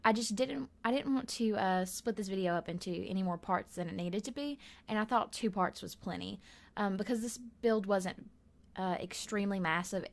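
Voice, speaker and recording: A hoarse woman giving a casual, rambling voiceover for a building video from her bedroom at home, with lots of fillers and soft laughs. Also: medium pitch, moderate pace. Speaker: gaming video creator.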